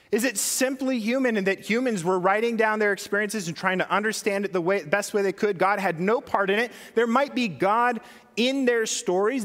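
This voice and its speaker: A man's voice: high voice, fairly bright tone, big-room sound. A man preaching a sermon as pastor, talking conversationally and putting questions to his congregation.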